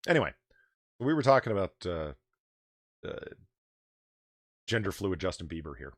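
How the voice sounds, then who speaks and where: Loud profane man, deep voice, swings up and down in pitch, dry close sound, live-stream host. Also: big pitch swings, measured pace, no echo. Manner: booming, profane, ranting. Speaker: host of a live comics news show. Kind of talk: opinionated live-stream commentary.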